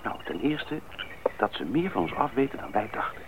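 A man playing a level-headed bush pilot in a radio drama, earnest and deliberate. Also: medium pitch, sing-song. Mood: thoughtful. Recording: noisy, thin old radio recording.

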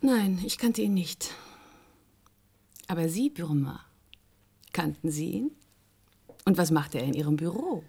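Husky, breathy femme fatale. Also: female voice, fairly low pitch, expressive, unhurried, pauses often, mellow, exaggerated voice. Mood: sultry, languid. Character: sultry, world-weary femme fatale teasing a detective. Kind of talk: radio crime drama dialogue.

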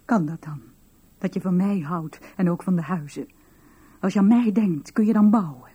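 An actress in a 1970s radio play playing a young woman in love, yearning and demanding, with a very breathy, husky voice. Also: fairly low pitch, moderate pace, dark tone. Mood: pleading. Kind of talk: 1970s Dutch-language radio play acting.

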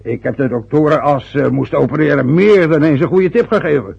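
Radio drama: a pompous old dad, raspy and grumbling, full of his own importance, on a muffled old recording. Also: fairly high pitch, fairly quick, exaggerated voice. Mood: boastful, wistful. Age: older. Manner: boastful, grumbling, self-important. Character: aggrieved, self-important, boastful older father, former ambulance driver. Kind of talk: radio drama acting, long rambling monologues.

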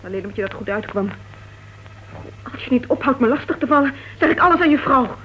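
A woman's voice: high-pitched, expressive, fast talker, breathy edge. An actress playing an indignant, defiant woman in a Dutch radio play, accusing and defensive in manner. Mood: angry, defiant. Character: indignant, defiant woman cornered by her former lover. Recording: dull, faintly noisy old radio recording.

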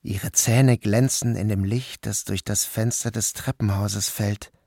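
A man reading a literary story aloud as a first-person narrator, with a measured and even delivery. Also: lowish voice, gravelly, no echo, clean studio sound. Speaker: audiobook narrator. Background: music.

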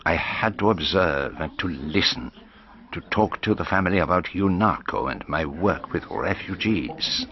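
An actor playing a shrewd, observant detective, narrating his suspicions in a measured, reflective way in a radio drama. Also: sits low, lively intonation. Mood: musing. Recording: slightly dull broadcast radio recording.

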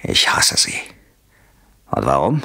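A man playing a coldly polite, vengeful husband in a radio play, speaking in a husky, deliberate, menacing way that often drops to a whisper. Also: pushed and bright. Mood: bitter hatred. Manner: mock-courteous, deliberate, menacing. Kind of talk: German radio play acting.

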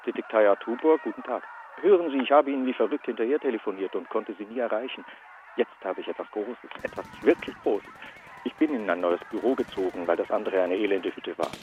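An actor playing a money-hungry private detective in a radio play, insistent, hurried and excitable. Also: male voice, on the low side, dull audio, lightly acted. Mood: pressing. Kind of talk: German radio play acting. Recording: clear background noise.

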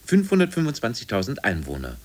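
A man's voice: mid-range voice, wildly swooping pitch, fast talker, a little hiss or hum. A man reciting facts as an announcer in a 1950s radio play, clipped, official and list-like. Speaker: encyclopedia-style announcer. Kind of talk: 1950s scripted factual recitation.

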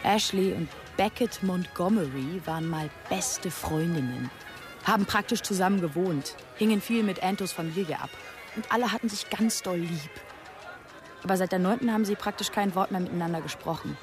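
A woman's voice: lowish voice, normal speed, music in the background, close to an everyday voice. A woman playing a rebellious, sarcastic teenage girl in a radio drama, telling her story in a confiding, dramatic way. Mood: defiant, snarky. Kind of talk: radio drama narration and dialogue.

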